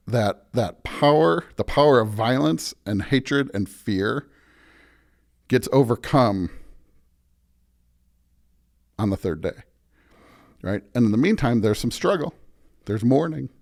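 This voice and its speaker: A man's voice: mid-range voice, slow pace, vocal fry, long pauses. Emphatic man, a pastor talking faith. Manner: emphatic, repeatedly checks with "right?". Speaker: pastor, podcast guest. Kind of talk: conversational preaching in an interview.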